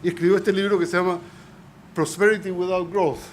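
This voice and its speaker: Man, slightly high voice, faint background noise, panel scientist, hesitant with fillers. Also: little pitch movement, fairly quick. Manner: hesitant, many fillers and restarts. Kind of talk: conference panel talk. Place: auditorium.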